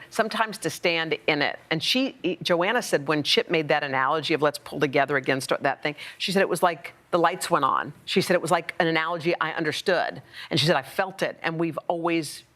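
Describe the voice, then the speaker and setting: A woman talk show host with a low-pitched voice. She chats casually and retells things in a conversational, involved way, often slipping into quotes. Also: a bit bright.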